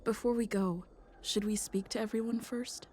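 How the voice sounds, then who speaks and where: A woman playing a calm, quiet travel companion in a video game. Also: a little high, normal speed, slight background noise, natural voice. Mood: calm, pensive. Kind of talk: acted video game dialogue.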